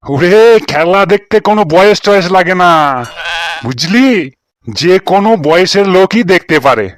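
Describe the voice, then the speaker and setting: A man's voice: sits high, expressive, normal speed. A man voicing a grumbling, easily exasperated and rather dim village uncle in a comic cartoon, blustery and scoffing.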